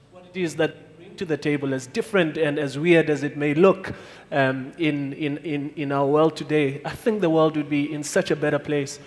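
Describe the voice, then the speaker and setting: Man, fairly high pitch, heartfelt, halting repeats, hall reverb. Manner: heartfelt, searching, repeats words. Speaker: panelist. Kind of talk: panel discussion answer.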